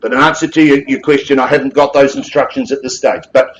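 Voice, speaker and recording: Booming New Zealand male auctioneer. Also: steady tone, highs cut off, slight background noise. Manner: big booming voice, rapid rhythmic bid-calling with quips. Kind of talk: live property auction calling. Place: auction room with bidders present.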